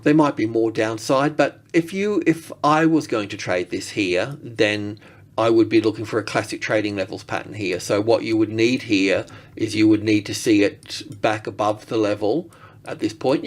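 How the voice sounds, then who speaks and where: A man giving casual trading commentary as a market analyst, thinking aloud in a rambling way and often rephrasing himself. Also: lowish voice.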